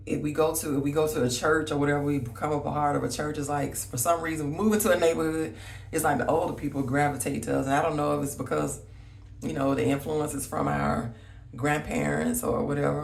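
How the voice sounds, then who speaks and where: A woman's voice: deep voice, gravelly, recorded from a distance. A woman from Alabama with a Southern accent, chatting casually and rambling as she talks straight to her viewers. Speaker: vlogger. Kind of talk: casual reminiscing chat to viewers. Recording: roomy echo, voice slightly off-mic.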